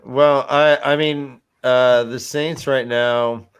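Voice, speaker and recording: A man on a fantasy football panel, debating casually in a halting way and repeating himself. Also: mid-range voice, fairly even intonation, clear, resonant tone. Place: online livestream group call.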